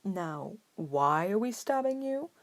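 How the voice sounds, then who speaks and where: A smooth, sly father of military bearing read by a woman in an audiobook-style tale. He is formal and wry, protective with a veiled threat. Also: medium pitch, big pitch swings, slow, dry recording, pitched above the performer's own voice.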